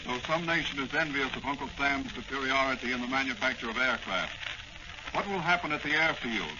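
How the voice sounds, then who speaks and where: A man's voice: mid-range voice, expressive, fairly quick, lo-fi sound. A man with an American accent narrating dramatically as a 1940s radio announcer, on a noisy old recording. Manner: dramatic, declamatory.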